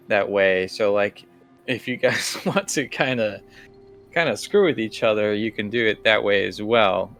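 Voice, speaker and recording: Low-pitched, slightly creaky man as game master. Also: a bit bright. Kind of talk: casual rules explanation and scene-setting for a tabletop game.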